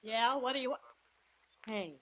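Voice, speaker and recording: A woman's voice: on the high side, lively intonation, measured pace, sharp tone, exaggerated voice. An actress playing a nervous, whining female accomplice with an American accent in 1940s radio drama, jittery and complaining. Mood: suspicious, nervous. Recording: muffled old radio recording.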